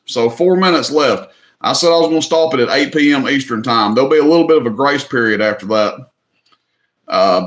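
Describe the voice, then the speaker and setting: A man's voice: mid-range voice, fairly bright tone, echoey room. Folksy Tennessee man hosting a livestream. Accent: Southern US. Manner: folksy, joking, drawling, says y'all. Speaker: livestream host running an auction. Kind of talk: casual livestream chat.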